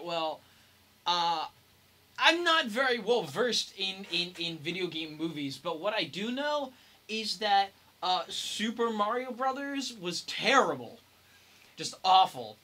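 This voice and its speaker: A man's voice: high voice, sharp tone. A young man chatting casually as a podcast co-host. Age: young adult. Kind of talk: casual chat.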